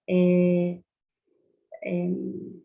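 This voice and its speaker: A woman's voice: lowish voice, completely flat delivery, extremely slow, gravelly, warm tone, lo-fi sound. A woman in her fifties from Italy, speaking Spanish with an Italian accent and some Buenos Aires flavour, reflecting hesitantly with many fillers as a guest writer on a video call. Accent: Italian, living in Buenos Aires. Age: 50s. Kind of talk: thoughtful conversation in a writing workshop.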